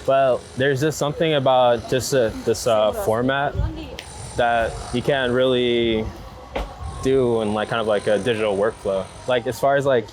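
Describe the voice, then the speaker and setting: A young man in casual, slangy conversation, speaking in halting phrases with lots of filler words. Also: mid-range voice. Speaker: street photographer being interviewed. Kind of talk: casual chat on the street. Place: outdoors on busy city streets. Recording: loud city traffic noise outdoors. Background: loud urban ambience and passing vehicles.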